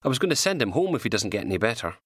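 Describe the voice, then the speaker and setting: A man's voice: mid-range voice, very animated, rapid-fire, no echo, clean studio sound, voice pushed up. A man voicing a harried female offender support worker in an audiobook crime story, plain-spoken and colloquial.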